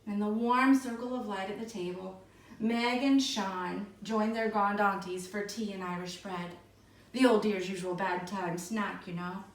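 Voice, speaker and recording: A woman reading a storybook aloud to schoolchildren in an expressive narrating style. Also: medium pitch, unhurried. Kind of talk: expressive children's read-aloud. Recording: echoey room, distant microphone.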